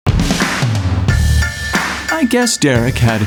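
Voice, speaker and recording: A man reads scripted fiction in an audiobook style. His pace is slow and deliberate, and his pitch sits in a middle male range. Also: huge pitch swings, over loud music. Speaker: podcast narrator. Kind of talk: scripted story narration, audiobook-like.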